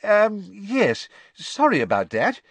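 A man's voice: high-pitched, huge pitch swings, slow pace, no echo, voice pushed up, heavily acted. A man voicing an eager, scatterbrained middle-aged wizard father in an audiobook reading. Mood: apologetic.

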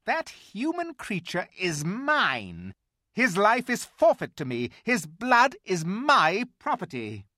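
Guy doing a high shrill witch queen voice, cold and bossy, swoops up and down, dry close sound. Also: wildly swooping pitch, slow, sharp tone, no echo, exaggerated voice. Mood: savage. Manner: shrill and commanding, rising when angry.

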